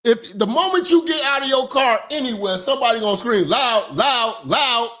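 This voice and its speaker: A man hosting a comedic commentary, talking loud, profane and animated, sometimes shouting. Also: very high voice, normal speed. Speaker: commentary host.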